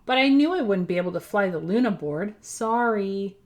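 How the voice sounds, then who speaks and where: A woman's voice: medium pitch, expressive, normal speed, close to an everyday voice. Woman reading as earnest, nervous young boy hero. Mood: anxious. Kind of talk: children's storybook character reading.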